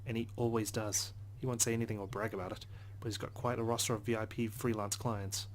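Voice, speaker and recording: A man reading visual novel narration aloud as a let's play host, subdued, with hushed asides. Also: fairly low pitch, flat delivery, quick pace, a little hiss or hum. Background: soft guitar music.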